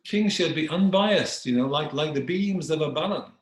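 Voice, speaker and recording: A man's voice: on the high side, expressive, moderate pace, reverberant, pitched above the performer's own voice, lightly acted. A man voicing a wise, bold storybook dog who courteously and formally admonishes a king. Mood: solemn reproach.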